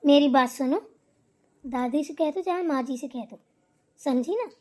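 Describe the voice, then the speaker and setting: A woman's voice: sits high, unhurried. A woman voicing a bossy, scolding elder sister-in-law in a Hindi family drama cartoon, hectoring and preachy. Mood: threatening, scornful. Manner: hectoring, sharp-tongued, preachy.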